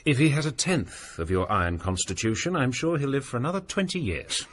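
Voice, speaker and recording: An actor playing a thoughtful gentleman friend who speaks in a calm, reassuring way. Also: medium pitch, brisk, a little hiss or hum, natural voice. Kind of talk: period radio drama acting.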